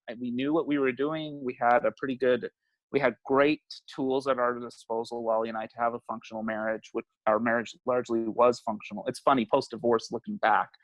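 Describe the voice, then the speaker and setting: Guest, male, late 30s; open, thoughtful talker with easy laughs, mid-range pitch. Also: fairly bright tone, no echo, rough recording. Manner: reflective, laughs often, swears casually. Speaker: guest, family therapist. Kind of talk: candid conversational interview.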